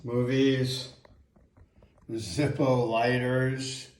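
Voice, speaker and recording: A grandfather in his seventies, casually showing his home music memorabilia in a darkened room, fond and unhurried. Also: mid-range voice, little pitch movement, echoey room, slight background noise. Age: 70s. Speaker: grandfather hosting a family music event. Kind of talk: casual home video tour.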